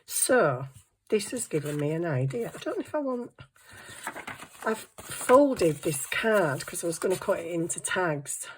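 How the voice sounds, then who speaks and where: A woman with a hoarse, croaky voice, chatting casually and thinking aloud through a crafting tutorial. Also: lowish voice, very animated, a little slow, a little hiss or hum, low-quality recording. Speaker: craft vlogger.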